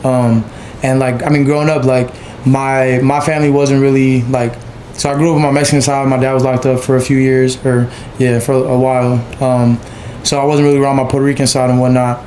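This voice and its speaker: A man's voice: medium pitch, flat delivery, brisk, a little mellow, slight background noise. A man, a photographer, talking as a podcast guest in a rambling casual way, leaning on fillers and tag questions. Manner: rambling, many fillers and 'you feel me'. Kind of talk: casual chat. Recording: echoey room.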